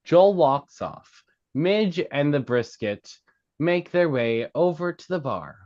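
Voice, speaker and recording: A man's voice: fairly high pitch, expressive, slow, darkish tone. A man narrating, reading out screenplay stage directions at a table read. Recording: dull, lo-fi sound.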